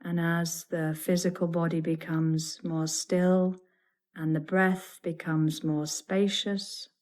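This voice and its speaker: A woman leading a guided yoga nidra meditation as a teacher, soothing and deliberate, in measured phrases broken by pauses. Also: lowish voice, little pitch movement, a little mellow.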